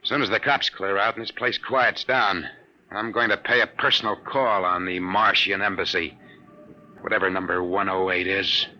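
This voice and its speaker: An actor playing a tough, blunt private detective in clipped 1950s radio drama style. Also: male voice, deep voice, steady tone, normal speed, music in the background, lightly acted. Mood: defiant. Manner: hard-boiled, clipped. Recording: dull old radio drama recording.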